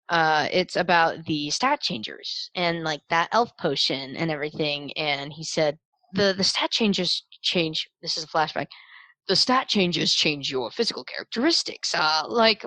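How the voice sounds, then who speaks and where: A young woman improvising tabletop game narration as game master, describing scenes in a casual, halting way. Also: low voice, a bit bright, no room sound, low-quality recording.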